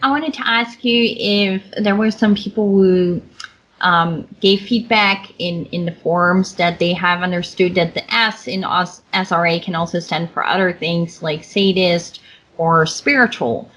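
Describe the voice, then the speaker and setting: Low-voiced Dutch woman interviewing, dull audio. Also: fairly even intonation, unhurried, a bit bright. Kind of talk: casual interview conversation.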